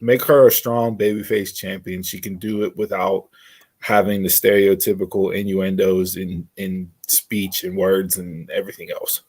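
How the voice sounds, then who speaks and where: A man's voice: sits low, level tone, normal speed, darkish tone, breathy edge. A man co-hosting a podcast in casual conversation, giving emphatic opinions and repeating phrases to make his point. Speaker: podcast co-host. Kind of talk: casual wrestling predictions chat.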